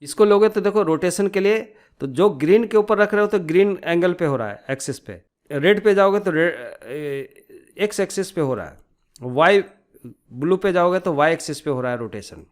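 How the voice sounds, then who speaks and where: A man with a fairly high-pitched voice narrates an informal screen tutorial. He explains each step as an instructor would, often adding small tag questions to check that the learner follows. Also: expressive, moderate pace.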